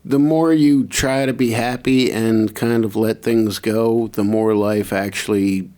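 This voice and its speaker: A man's voice: lowish voice, steady tone, unhurried, a little mellow. A man talking casually as co-host of a talk show. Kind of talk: casual chat.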